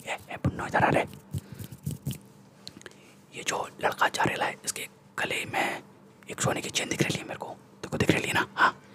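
A man's voice: moderate pace, pauses often, lightly acted. A young man with an Indian accent playing a cocky, threatening street gangster in a whispered ASMR roleplay, speaking bossy street slang close to the microphone. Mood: scheming, bossy. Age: young adult. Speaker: ASMR performer. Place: small room indoors. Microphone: close-up large desktop microphone.

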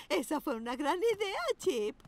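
A woman's voice: very high-pitched, very animated, slow, heavy background noise, exaggerated voice. A woman voicing an encouraging cockatoo piano teacher in a dubbed preschool cartoon, with a singsong manner.

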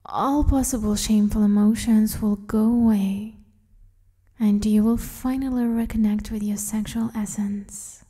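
A woman guiding a meditation in a soft, breathy half-whisper, soothing and gently instructing the listener. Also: medium pitch, fairly even intonation, a little slow. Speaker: meditation guide, host. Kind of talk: guided meditation, hypnosis-like.

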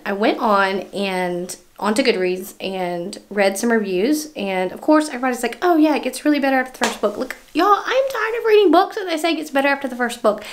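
A young woman, hoarse from losing her voice, chatting casually and emphatically about a book she is reading, recorded on her phone at home. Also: fairly high pitch. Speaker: book vlogger. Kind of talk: casual book review vlog. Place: small room at home, indoors. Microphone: phone front camera at arm's length.

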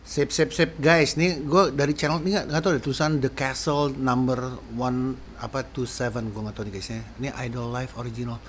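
Excitable man reacting to music. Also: mid-range voice, a little fast, few pauses. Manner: excitable, frequent slang exclamations. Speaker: sound engineer reacting to music. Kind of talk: casual reaction commentary. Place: small dim room at home. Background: faint music playing.

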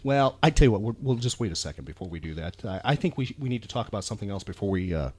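A man's voice: fairly low pitch, animated, very fast, darkish tone, slightly rough, no echo. A man hosting a podcast in a casual, rambling, enthusiastic chat about pop culture. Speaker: podcast host.

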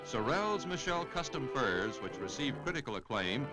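A man's voice: medium pitch, big pitch swings, normal speed, poor audio. Man narrating a 1950s American newsreel, music behind. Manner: polished announcer delivery.

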